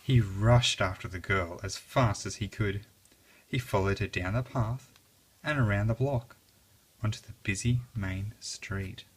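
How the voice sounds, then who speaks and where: A reader narrating a children's story aloud in an expressive, dramatic way. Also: sits low, measured pace, a little hiss or hum.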